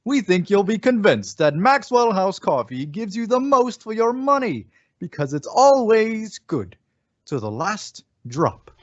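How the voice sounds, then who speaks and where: A man's voice: high voice, very animated, measured pace. A man announcing and reading ads in a polished, emphatic old-time radio style. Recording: dull lo-fi radio sound, music behind.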